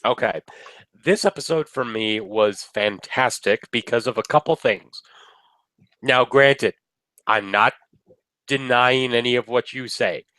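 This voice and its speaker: A man's voice: mid-range voice, unhurried, sharp tone, no echo, rough recording. A man with a cold and a nasal voice, co-hosting a casual review chat over a webcam in a laid-back, wry manner. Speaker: co-host of a review video. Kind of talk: casual banter. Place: indoor room.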